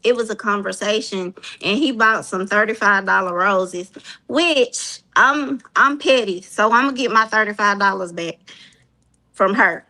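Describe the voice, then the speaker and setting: Animated Southern woman, bright voice. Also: mid-range voice, unhurried, low-quality recording. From Mississippi. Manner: animated, emphatic storytelling. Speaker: panelist. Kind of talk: casual panel chat.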